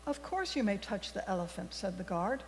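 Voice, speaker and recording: A woman reading a story aloud to a congregation in a deliberate, expressive storybook manner. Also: medium pitch. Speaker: worship leader telling a story for all ages. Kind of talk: read-aloud storytelling in a church service. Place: large indoor congregation space. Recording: echoey hall with mains hum.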